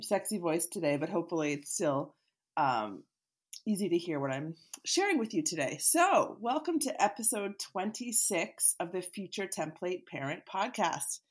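A woman's voice: lowish voice, slightly rough. A woman around forty hosting her own podcast, talking casually and teaching, with a scratchy voice from a cold. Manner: chatty, animated, laughs easily, occasional fillers. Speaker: podcast host and therapist. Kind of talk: solo podcast talk, teaching.

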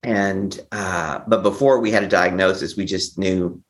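Deep-voiced man telling his story haltingly. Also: steady tone. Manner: halting, repeats and restarts phrases. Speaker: interview guest. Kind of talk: personal recovery storytelling.

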